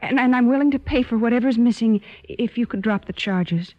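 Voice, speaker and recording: An actress playing an anxious sister who pleads hesitantly and earnestly in an old-time radio drama. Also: female voice, fairly high pitch, brisk, close to an everyday voice. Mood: anxious pleading. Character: anxious, pleading older sister of wayward boy. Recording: dull, lo-fi old radio recording.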